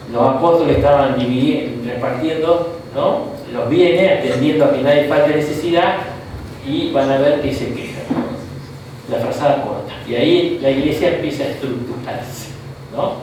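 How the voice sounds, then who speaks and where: A man with an Argentine accent teaching a Bible class in a relaxed lecture style, often checking in with the room through "¿no?" and short asides. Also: fairly high pitch, moderate pace, a little hiss or hum. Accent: Argentine Spanish. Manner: conversational, frequent "¿no?" and "¿tá?" tags, asides. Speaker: bishop teaching a Bible course. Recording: echoey room, mic far off.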